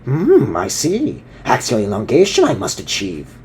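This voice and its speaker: A man voicing a small, ancient, pensive alien sage with a croaky voice, inverted word order and thoughtful hums. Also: mid-range voice, wildly swooping pitch, a little slow, a little mellow, slight background noise.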